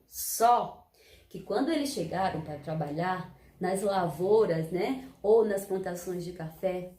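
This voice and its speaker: Woman teaching children, mellow voice. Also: mid-range voice, echoey room. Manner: patient, explanatory, asks the class rhetorical questions. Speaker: primary school teacher. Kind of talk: recorded history lesson for children.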